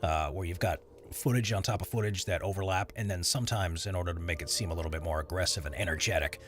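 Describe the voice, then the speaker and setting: A man's voice: sits low, quick pace, soft background music. A man streaming while he edits voiceover audio, chatting loosely with his viewers and rambling between tasks. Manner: rambling, chatty, answers chat while working. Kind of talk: casual live-stream chat.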